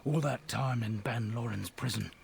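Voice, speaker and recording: An actor playing a guilt-ridden dying soldier, once a king's guard, speaking gravely and haltingly in a fantasy video game. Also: mid-range voice, a little hiss or hum. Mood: grieving, bitter.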